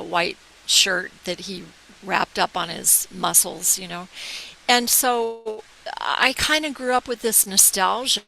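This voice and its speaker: A woman's voice: medium pitch, pushed and bright, low-quality recording. An older woman with an American accent, reminiscing in a casual, rambling storytelling way as an interview guest. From United States, military family abroad. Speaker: interview guest, organization founder. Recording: clear hiss behind the voice.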